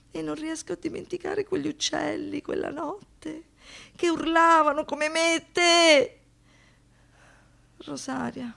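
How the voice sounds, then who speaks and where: A woman's voice: sits high, creaky, heavily acted. An actress playing a traumatised young woman who survived a brutal assault, in a poetic, declamatory radio monologue, anguished and defiant. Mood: sorrowful, weary. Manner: dramatic, swinging between hushed reflection and impassioned outbursts.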